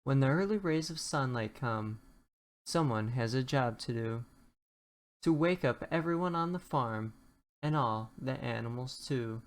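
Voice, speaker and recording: A narrator reading a rhyming children's storybook aloud in an expressive storytelling way. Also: mid-range voice, unhurried. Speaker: children's book reader.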